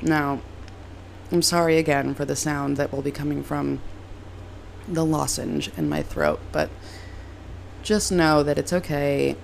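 A young woman hosting her own podcast, hoarse and raspy from a cold, chatting in a rambling, self-deprecating way. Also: sits low, lively intonation, measured pace, long pauses, a little hiss or hum. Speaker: podcast host. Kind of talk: casual solo podcast chat. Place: moved from Reno to LA, recording at home.

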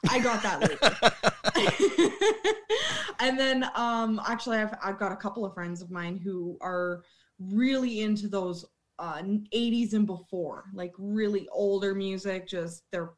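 A woman's voice: medium pitch, unhurried. A young woman, a former bartender, chatting as a podcast guest, blunt and swearing freely as she laughs at herself. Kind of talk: casual interview chat.

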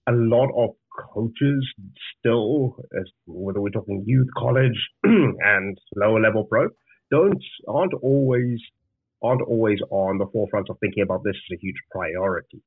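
A man's voice: lowish voice, slightly rough, no echo. A man from Zimbabwe with a Zimbabwean accent, a founder answering interview questions earnestly, often restarting his words. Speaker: guest, startup founder. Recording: dry, tinny phone-like line.

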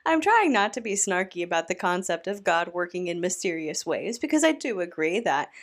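A woman's voice: fairly low pitch, sing-song, brisk. A woman hosting a commentary podcast, reacting to a video with a snarky, incredulous manner. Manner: snarky, incredulous, talks back to the clip.

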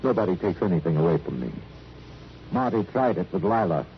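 An actor playing a suave, arrogant gangster with a smooth, sardonic manner in a radio crime drama. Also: male voice, sits low, big pitch swings, fairly quick. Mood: cool, menacing. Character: suave, arrogant male gangster with fine tastes. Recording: muffled old radio recording, faint noise.

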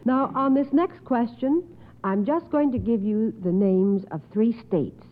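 A woman's voice: a little high, a little slow, slight background noise. A woman hosting an old radio quiz show in a courteous, schoolteacherly manner with precise enunciation, affirming contestants. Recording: muffled phone-like old radio recording.

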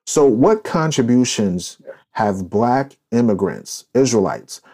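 A man's voice: mid-range voice, animated, slow, warm tone, slightly rough. A man hosting a podcast discussion, speaking in an emphatic, preachy, declarative way. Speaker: podcast host.

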